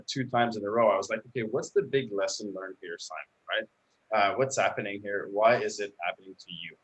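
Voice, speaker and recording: A French Canadian man in his thirties talks through a laptop webcam on a live video call. His voice sits fairly low, and he tells his story earnestly, with plenty of uhs and ums. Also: fairly bright tone, low-quality recording. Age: 30s. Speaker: guest coach. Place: indoors at home, bright room.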